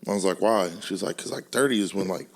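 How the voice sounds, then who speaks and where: A man in his late twenties co-hosting a podcast, chatting casually in a relaxed, slangy way and telling stories with frequent laughs. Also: sits low, quick pace, creaky. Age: 20s. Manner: laid-back, slangy storytelling, laughs often. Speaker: podcast co-host. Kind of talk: casual chat.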